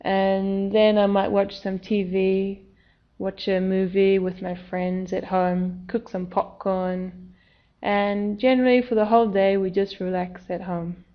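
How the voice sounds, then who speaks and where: A woman talking in a relaxed, even way. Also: medium pitch, a little slow, dull audio. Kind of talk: unscripted answers for a learner video.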